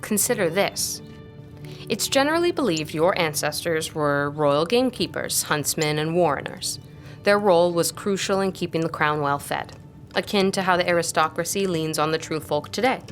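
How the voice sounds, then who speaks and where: An actress playing an earnest, courteous tutor in an audio drama, speaking in a measured way. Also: female voice, low-pitched, lively intonation, faint music behind. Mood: instructive. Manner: measured, didactic, polite.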